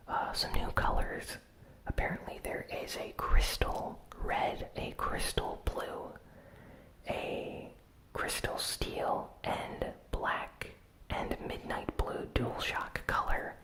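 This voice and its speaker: A person whispering a casual ASMR gaming news recap in a breathy, unvoiced, soft-spoken and informal way. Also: low-quality recording. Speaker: host of a gaming news show.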